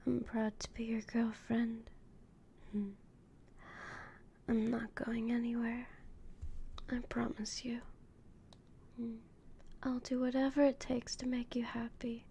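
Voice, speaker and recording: A young woman whispering slowly and intimately as a doting, possessive girlfriend in an audio roleplay. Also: on the high side, flat delivery, slight background noise. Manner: soft whispering, slow and intimate.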